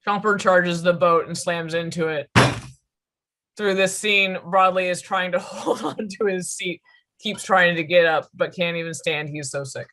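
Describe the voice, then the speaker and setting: A woman's voice: fairly low pitch, fairly even intonation, a little slow, background noise. A woman reading stage directions as scripted narration at a table read.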